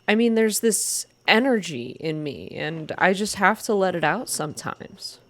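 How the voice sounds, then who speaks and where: A woman's voice: fairly low pitch, animated, a little slow. A woman voicing an earnest, restless young woman activist in a story reading.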